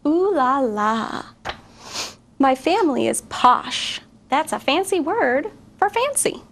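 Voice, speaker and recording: A middle-aged woman teacher reading a picture book aloud to children, expressive and asking young listeners questions. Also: high voice, takes it slowly. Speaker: guest reader, teacher.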